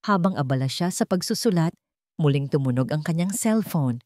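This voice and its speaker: A woman's voice: low-pitched, lively intonation, normal speed, a little mellow, no echo, studio quality. A woman with a Filipino accent reading a scripted dramatic story as its narrator.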